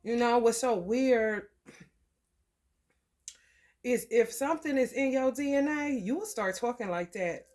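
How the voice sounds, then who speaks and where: A woman's voice: fairly high pitch, measured pace, pauses often, darkish tone. Casual woman with a scratchy throat. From American Midwest. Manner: loose and profane, with laughs and frequent throat clearing. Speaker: tarot reader. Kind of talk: casual spiritual reading to camera.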